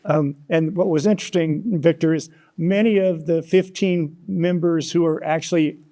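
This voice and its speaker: A man answering as an interview guest, talking conversationally and haltingly, repeating words as he explains. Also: fairly high pitch, steady tone, warm tone. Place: broadcast interview studio.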